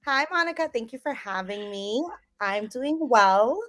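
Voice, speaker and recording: A young woman from the United States telling her life story as an interview guest, relaxed and rambling with lots of ums. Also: a little high, a little slow. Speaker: guest, business owner.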